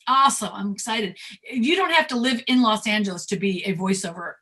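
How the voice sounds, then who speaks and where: A woman's voice: medium pitch, fairly quick, pushed and bright, breathy edge. A woman hosting an enthusiastic, conversational interview in an effusive, complimentary way. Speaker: host, talent manager.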